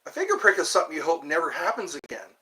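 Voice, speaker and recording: A man's voice: on the high side, big pitch swings, racing, bright, forceful tone, low-quality recording. Rambling, joking man in his fifties. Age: 50s. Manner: rambling, joking, self-deprecating. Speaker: livestream host. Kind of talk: casual chat answering viewers.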